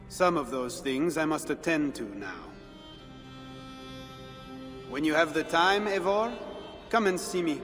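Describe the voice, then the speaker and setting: Brief: a teasing, worldly informant, strong music score behind. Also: male voice, fairly high pitch. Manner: wry, knowing, teasing. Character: worldly, sardonic Frankish spymaster. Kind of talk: video game cutscene. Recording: game audio with reverb and music.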